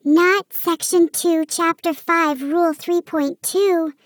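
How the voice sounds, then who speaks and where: A woman's voice: extremely high pitch, steady tone, slow, no room sound, pitched above the performer's own voice. A woman voicing a nervous, rule-bound male Christmas elf who speaks in a fussy, trembling way.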